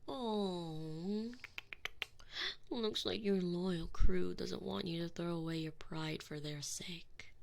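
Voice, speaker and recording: A woman's voice: on the low side, slow, vocal fry, a little mellow. A woman playing a sadistic, possessive female alien enforcer in an ASMR roleplay, close on the microphone, taunting with theatrical, sweet menace. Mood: menacing, mocking. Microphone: close binaural microphone.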